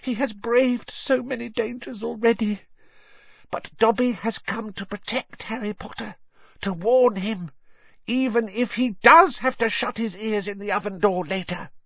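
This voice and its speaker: A squeaky frantic house-elf. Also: male voice, measured pace, dry recording, highs cut off, full character voice. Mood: pleading. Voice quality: squeaky, high and breathy. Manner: fawning, calls the listener sir, pleading. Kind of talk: audiobook character voice.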